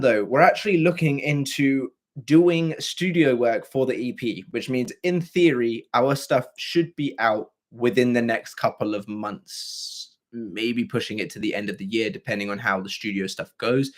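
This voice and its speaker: A hoarse young man with a scratchy, throaty voice, hosting a livestream and chatting casually with viewers. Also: mid-range voice. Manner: chatty and rambling, with frequent fillers and throat clearing. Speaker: livestream host. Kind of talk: casual livestream chat answering viewer comments.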